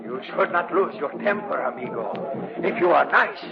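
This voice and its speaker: A male actor playing a sinister, gloating Latin American guide and high priest, mock-courteous and menacing, in old radio drama style. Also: lively intonation, normal speed, a crowd in the background. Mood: gloating menace. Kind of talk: 1950s radio drama acting. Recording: noisy, muffled old radio recording.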